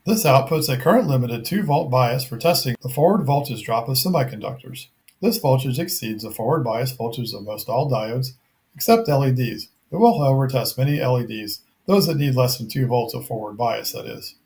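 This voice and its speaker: A middle-aged man narrating a scripted technical product review in a measured, methodical, matter-of-fact way. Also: medium pitch, lively intonation, a little mellow.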